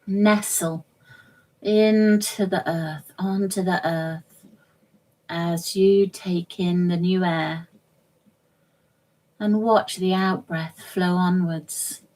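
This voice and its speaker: Very slow, gentle woman guiding meditation. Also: on the low side, fairly even intonation, low-quality recording. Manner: gentle, measured, soothing, short phrases with long pauses. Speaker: yoga nidra instructor. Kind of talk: guided meditation, relaxation instruction.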